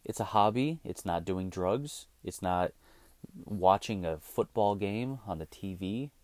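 A man's voice: sits low, animated, measured pace, darkish tone, no echo. A man in his thirties hosting his own show, talking casually in a blunt, swearing rant. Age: 30s. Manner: blunt ranting, swears freely. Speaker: podcast host. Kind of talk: casual monologue.

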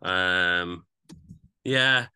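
A man from England with an English accent, chatting casually as he reviews music as a podcast co-host, measured and hedging in his opinions. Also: low voice, pushed and bright, smooth.

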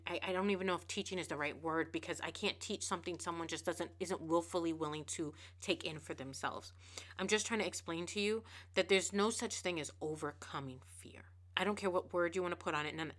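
A woman hosting a podcast, giving a casual motivational monologue. She talks fast and emphatically, repeating words and restarting phrases. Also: on the low side, pushed and bright. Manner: emphatic, preachy. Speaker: podcast host.

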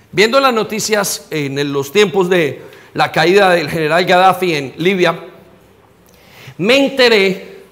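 A Colombian man preaching as pastor, asking the congregation direct questions and rising to near shouts. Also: high-pitched. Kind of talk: sermon. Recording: long hall reverb.

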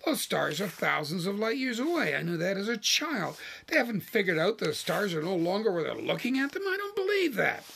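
A man's voice: on the high side, normal speed, a bit bright, slight background noise, lightly acted. A man voicing a blunt, easily frustrated wife in a story, emphatic and insistent. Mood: incredulous.

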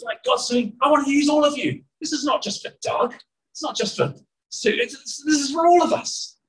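A man in his forties preaches with a bright, lifting voice that swings widely up and down. He talks to the crowd conversationally, tossing out rhetorical questions. The sermon is given outdoors in a car park. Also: high voice, rough recording. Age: 40s.

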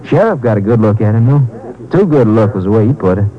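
An actor playing a helpful, folksy young hotel desk clerk in a muffled 1950s radio drama. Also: male voice, on the low side, animated, fast talker, close to an everyday voice. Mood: eager, deferential. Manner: folksy, deferential, hesitant. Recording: muffled vintage radio recording.

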